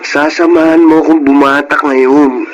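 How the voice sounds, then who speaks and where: An actor playing a manipulative young Filipino boyfriend who coaxes and pressures in a radio drama. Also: male voice, on the high side, steady tone, measured pace, lo-fi sound, a little hiss or hum, close to an everyday voice. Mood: pressuring. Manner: coaxing, cajoling.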